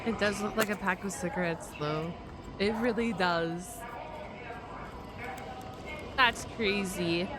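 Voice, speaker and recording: Girl streamer doing casual reactions, chatty, blurts stuff and repeats words playfully, mid pitch voice, really noisy background. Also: slow pace, pauses often. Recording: loud steady background noise.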